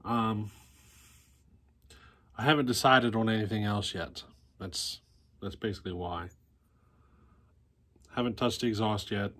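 A man in his forties chatting casually to camera, plain-spoken and halting as he thinks aloud. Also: fairly low pitch, a bit bright. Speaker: vlogger, hobbyist chainsaw mechanic.

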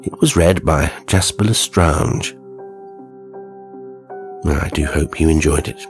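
A man's voice: very deep voice, takes it slowly, faint music behind. A man narrating a horror story in a grave, theatrical, dramatic scripted style.

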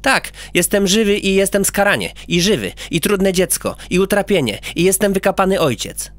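Storybook brat, read by a man: high eager little boy, boastful, mischievous, very lively up and down. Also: normal speed, no echo. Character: cheeky boastful little boy, restless chatterbox.

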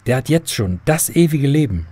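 A male actor plays an earnest man in a children's radio drama. His husky, mid-pitched voice explains things patiently and seriously. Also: very animated, moderate pace, warm tone, dry recording.

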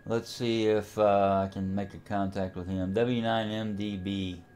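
A man with a fairly low voice talks through a software tutorial in a casual way. He works without a script, pausing and hesitating as he thinks aloud about each step. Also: little pitch movement, a little hiss or hum. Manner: hesitant, unscripted, thinking aloud while operating. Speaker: amateur radio enthusiast, tutorial host.

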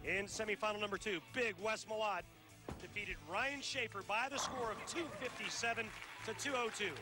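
Folksy high-voiced man reading a recap. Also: fairly even intonation, moderate pace. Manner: folksy, emphatic. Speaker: sportscaster giving recap. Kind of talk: scripted recap. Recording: broadcast with music bed.

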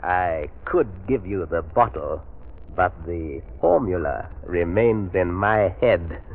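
An actor playing a scheming, megalomaniac army villain in a 1930s radio drama, with a theatrical, formal and sardonic delivery. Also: male voice, sits low, lively intonation, takes it slowly, vocal fry, a little hiss or hum, full character voice. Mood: gloating. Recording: muffled 1930s radio broadcast recording.

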